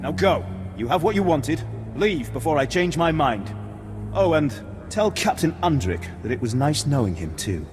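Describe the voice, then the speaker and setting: A man's voice: on the high side, big pitch swings, moderate pace. An actor playing a haughty, hot-tempered male Imperial officer in a video game, pompous and imperious. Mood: bitter, cold. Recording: reverberant hall with loud game music.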